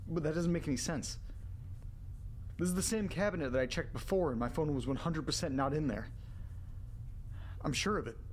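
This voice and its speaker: An actor playing an anxious young man alone in a creepy house, talking haltingly to himself in a horror audio drama. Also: fairly high pitch, a little hiss or hum, lightly acted, poor audio. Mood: baffled, alarmed. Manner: halting, muttering to himself, swearing. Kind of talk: horror audio drama, first-person monologue.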